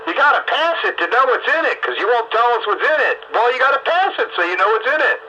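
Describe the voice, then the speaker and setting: Emphatic male talker, very bright and forceful; dull recording with slight hiss of noise. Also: extremely high pitch, very animated, fast. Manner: emphatic, opinionated, repeats points. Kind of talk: casual political discussion. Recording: lo-fi audio with faint noise.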